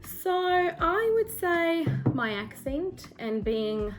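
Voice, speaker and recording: A woman's voice: very high-pitched, big pitch swings, extremely slow, soft background music. A young woman from South Africa with a South African accent, her first language Afrikaans, chatting casually and self-deprecatingly to camera as a book vlogger, with frequent ums. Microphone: phone or camera mic at desk.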